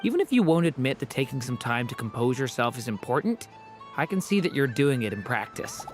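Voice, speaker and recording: A woman voicing a composed, gently teasing young woman in a fantasy video game, speaking in a measured, knowing way. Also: rumbling low, very animated, soft background music. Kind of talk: video game dialogue acting.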